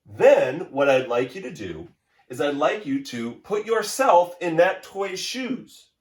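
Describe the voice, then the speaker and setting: Male primary teacher for kids' read-aloud and lesson: lively intonation, encouraging, instructive, roomy recording. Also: fairly high pitch. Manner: instructive, encouraging, addresses children directly. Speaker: elementary school teacher. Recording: roomy, echoey indoor sound.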